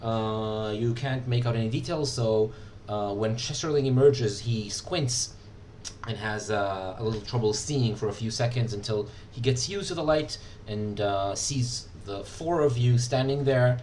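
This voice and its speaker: A man narrating a tabletop game as game master, halting and full of fillers. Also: medium pitch, darkish tone, recorded from a distance, a little hiss or hum.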